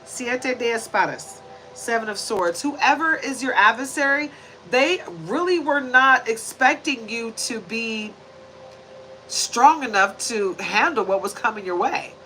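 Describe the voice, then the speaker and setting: A woman in her forties talks her way through a tarot spread with a sharp, bright tone and a voice pitched a little high. She is chatty and preachy and keeps checking in with her viewers with little tag questions. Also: animated, measured pace, slight background noise, poor audio. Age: 40s. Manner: shuffles and lays out cards while talking. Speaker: tarot reader. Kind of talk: casual tarot reading to camera.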